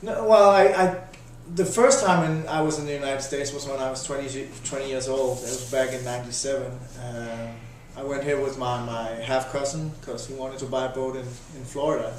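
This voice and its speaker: A Danish-accented man in his thirties talks in an even, flat way, stopping often to restart his sentences. His voice sounds quite far from the mic, with strong echo in the room and a faint hiss behind it. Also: medium pitch. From Denmark. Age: 30s. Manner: halting, many restarts and fillers. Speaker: interviewee, volunteer volleyball coach. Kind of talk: casual interview answers. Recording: echoey room, voice from a distance.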